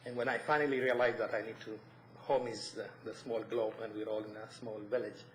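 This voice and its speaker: Ethiopian guy speaking at a town meeting, earnest, bit halting, even tone, echoey. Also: fairly low pitch. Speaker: resident applying for committee. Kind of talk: personal statement at public meeting. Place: indoor council meeting room. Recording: echoey meeting room, faint noise.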